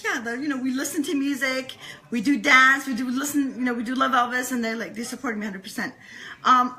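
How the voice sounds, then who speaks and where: A woman with a fairly high, very bright voice chats as a guest in a casual interview. She speaks earnestly and enthusiastically, leaning on repeated phrases for emphasis. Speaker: interview guest, fan club leader.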